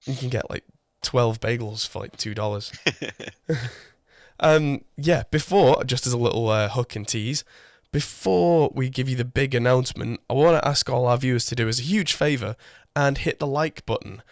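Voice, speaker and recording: A man in his thirties hosting a casual podcast, chatty and self-deprecating, his voice a little hoarse from a cold. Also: medium pitch, normal speed, no echo. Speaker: podcast host. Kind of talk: casual chat. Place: home studio. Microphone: condenser mic through an audio interface. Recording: dry close-mic sound.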